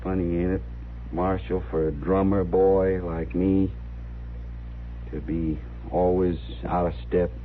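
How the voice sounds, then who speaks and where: An actor playing a humble, melancholy drifter in an Old West radio drama, with halting, folksy rural speech. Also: male voice, low voice, little pitch movement. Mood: humble, wistful. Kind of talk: 1950s radio western acting. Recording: very muffled, noisy old radio recording.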